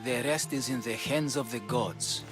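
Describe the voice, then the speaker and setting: An actor playing a calm, grave sanctuary priest in a video game, speaking in a measured, solemn way. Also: male voice, medium pitch, over music, close to an everyday voice. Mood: grave, resigned. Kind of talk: video game dramatic dialogue.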